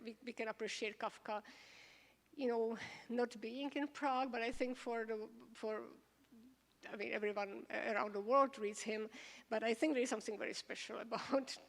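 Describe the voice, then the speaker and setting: A woman scholar interviewing a guest onstage, halting and searching for words as she asks. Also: a little high. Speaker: scholar moderating the conversation. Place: event hall. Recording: long reverb of a hall.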